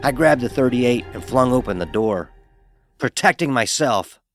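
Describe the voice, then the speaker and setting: A man's voice: mid-range voice, animated, normal speed, faint music behind. A man narrating a first-person horror story in a dramatic, wry manner.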